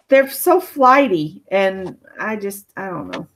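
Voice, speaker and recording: A woman's voice: mid-range voice, sing-song, slow. A woman chatting casually about her craft projects on a video call live stream.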